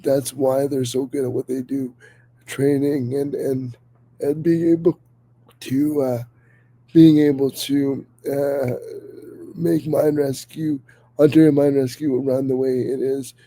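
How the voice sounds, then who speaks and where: A man hosts a casual podcast while slightly sick, his voice a little worn. His tone is very dark and mellow and his pitch stays quite level. He talks earnestly and eagerly, stumbling through restarts. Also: level tone, takes it slowly, no room sound, poor audio. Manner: earnest, enthusiastic, many fillers and restarts. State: a little sick, voice under the weather. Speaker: podcast host.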